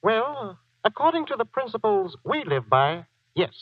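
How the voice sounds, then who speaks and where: A prim, uncomfortable townsman in a 1940s radio play. He is formal and hesitant, with a very mobile pitch. The sound is thin and phone-like, like an old broadcast. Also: big pitch swings, unhurried, no echo, exaggerated voice. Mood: embarrassed. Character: prim, embarrassed, principled townsman lodging a complaint. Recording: tinny, band-limited 1940s radio recording.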